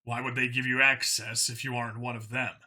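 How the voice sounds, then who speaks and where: A man's voice: mid-range voice, flat delivery, a little fast, pushed and bright. A man voicing a tough human undercover cop in a sci-fi audiobook, wry and casually threatening. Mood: questioning.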